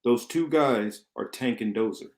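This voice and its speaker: A man playing a calm, wise rebel ship captain in an amateur radio play, measured and explanatory, recorded in an echoey room. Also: mid-range voice, natural voice. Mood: measured, assured.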